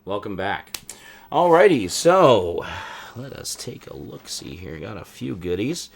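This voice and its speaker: A middle-aged man hosting a casual collector's vlog, talking conversationally and haltingly as he thinks aloud. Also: lowish voice, sing-song, vocal fry, breathy edge. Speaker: host of a collector's show.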